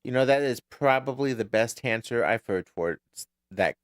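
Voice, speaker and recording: A man with a mid-range voice chats casually as a podcast co-host. He starts thoughts haltingly, doubling back on words, and the sound is dry and close, with little room around it. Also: mid-range voice, lively intonation, no echo. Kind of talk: casual TV episode recap chat.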